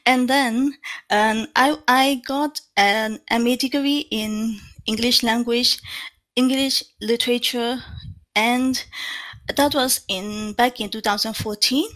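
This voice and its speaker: A woman's voice: a little high, fairly even intonation, takes it slowly, fairly bright tone, rough recording. A woman in her thirties from Myanmar with a Burmese accent, answering interview questions over a video call with many fillers and restarts. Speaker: interpreter guest.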